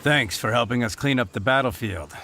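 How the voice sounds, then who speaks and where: A man's voice: mid-range voice, a little fast, creaky, noisy background, lightly acted. An actor playing a grateful, humble male knight who speaks politely. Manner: polite, self-deprecating.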